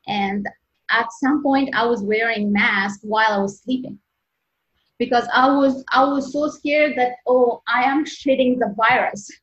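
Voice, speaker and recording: A woman in her thirties from Egypt with an Egyptian accent, answering interview questions as a medical resident, earnest and halting with many restarts. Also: a little high. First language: Arabic. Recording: dull lo-fi audio.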